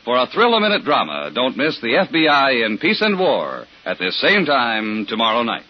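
A man's voice: mid-range voice, huge pitch swings, normal speed. A man reading punchy scripted promos as a 1950s radio announcer. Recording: muffled 1950s radio recording.

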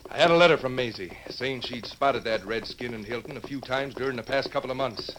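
An actor playing a scheming, vengeful escaped convict with a tough American way of talking, in a 1950s radio western. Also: male voice, mid-range voice, brisk, a bit bright, lightly acted. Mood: grudging, plotting. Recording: noisy old 1950s radio recording.

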